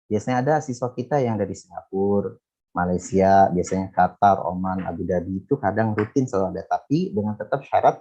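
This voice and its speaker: Guy, middle aged teacher, low mellow voice, chatting, kinda dull lo-fi audio. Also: a little fast, a little hiss or hum. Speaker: teacher, education manager and author being interviewed. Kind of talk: casual explanatory chat with many fillers.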